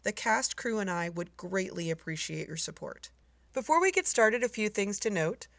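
Woman, vocal fry, medium pitch, scripted podcast introduction, close dry sound. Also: animated, normal speed, fairly bright tone, no room sound. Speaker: podcast creator giving an introduction.

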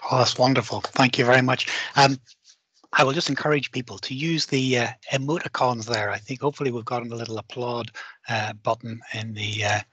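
A man's voice: medium pitch, fairly even intonation, fairly quick, slightly rough, no echo, low-quality recording. A man on a headset video call moderating a conference session, encouraging and chatty as he thanks the speaker and invites questions. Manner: warm, encouraging, rambling thanks. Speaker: conference host. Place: home office on video call.

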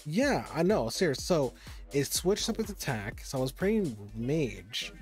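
A young man talks through his game session on a live stream in a loose, rambling way, stumbling over his words and swearing now and then. His pitch leaps up and drops back constantly. Soft music plays quietly behind him. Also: sing-song, unhurried. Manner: rambling, stop-start, swears under his breath. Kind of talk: casual live-stream chat while gaming.

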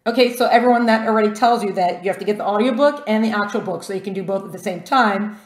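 A woman hosting a podcast with a bubbly, excitable manner, often laughing. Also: mid-range voice, quick pace, roomy sound. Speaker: podcast host.

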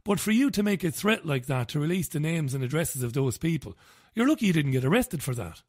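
A man's voice: on the high side, sing-song, fairly quick, darkish tone, no echo, studio quality. A middle-aged Irish man hosting a radio interview, conversational and a little hesitant, with frequent fillers. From Ireland. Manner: conversational, hesitant, with fillers, reads quotes aloud. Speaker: radio show host.

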